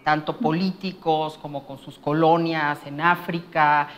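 A middle-aged woman, an expert interviewee in a documentary, explaining in a measured way. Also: sits low, little pitch movement.

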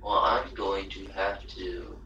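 Brief: young man, low-ish voice, unhurried, grumbles under his breath while gaming, distant echoey mic. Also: vocal fry, pushed and bright, dull audio, a little hiss or hum. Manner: mutters and grumbles to himself, mild cursing. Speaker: gamer playing along. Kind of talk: casual gameplay commentary.

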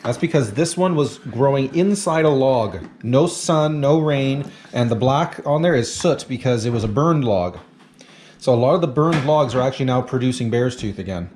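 A man's voice: medium pitch, animated, normal speed, a little mellow. Casual man filming, noisy recording. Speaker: vlogger filming a foraging trip. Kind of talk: casual chat while filming. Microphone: handheld action camera.

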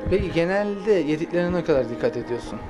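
A woman's voice: lowish voice, expressive, fast talker, over music, low-quality recording. A woman asking short, friendly interview questions.

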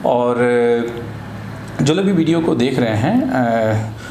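A man's voice: medium pitch, animated, takes it slowly, dark tone. Echoey middle-aged Indian man, clinical interviewer. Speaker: voice therapist interviewing a patient. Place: small clinic office, indoors. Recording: echoey room, faint background noise.

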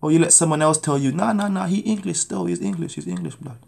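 A man's voice: fairly high pitch, lively intonation, quick pace, creaky, darkish tone. A man in his thirties from Jamaica, preaching his testimony to a phone camera in a small room at home, urgent and pleading. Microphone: phone camera at arm's length.

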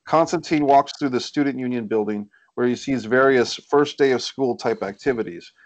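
A man's voice: medium pitch, moderate pace. A man reading scene headings and stage directions as scripted narration for a screenplay table read over a video call. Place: indoors, group video call. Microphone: computer or headset on video call.

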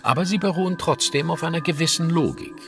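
An actor playing a cool, logical Victorian detective in a German audio drama, speaking formally and in a measured, analytical way. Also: male voice, a little high, fairly bright tone. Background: music.

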